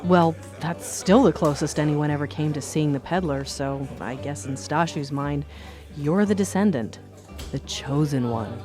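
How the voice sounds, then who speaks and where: An actor playing a small-town bartender in a radio play, recounting a local legend in a measured, ominous manner. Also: medium pitch, over music, natural voice. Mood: reflective. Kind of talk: dramatic storytelling in a radio play.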